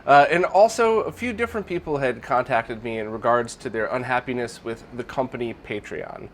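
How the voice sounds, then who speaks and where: A man's voice: mid-range voice, a little fast, a bit bright. A man hosting a casual viewer Q&A, sounding nasal and congested from a recent illness, rambling with lots of fillers and restarts. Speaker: channel host. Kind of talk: casual Q&A monologue.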